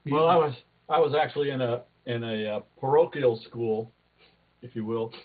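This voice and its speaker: Joking host on a tinny call. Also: male voice, mid-range voice, moderate pace. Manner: joking, rambling. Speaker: club meeting host. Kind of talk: casual video call chat.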